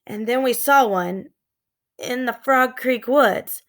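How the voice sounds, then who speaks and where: A woman's voice: on the high side, takes it slowly, pitched above the performer's own voice. A woman reads as a bookish young boy in a children's story. He sounds careful and skeptical, speaking with hesitation and doubt. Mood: bewildered, doubting.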